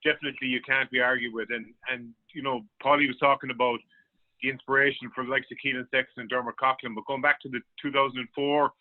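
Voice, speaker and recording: A man's voice: mid-range voice, little pitch movement, fast. Phone-line Irish man talking sport. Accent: Irish, County Clare. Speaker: sports journalist, panel guest. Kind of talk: sports discussion on radio. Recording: phone-like, narrow-band sound.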